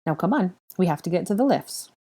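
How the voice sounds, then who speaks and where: A brisk young witch, as a woman narrator plays her, short and exasperated. The low voice stays close to the reader's own. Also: expressive, mellow, breathy edge.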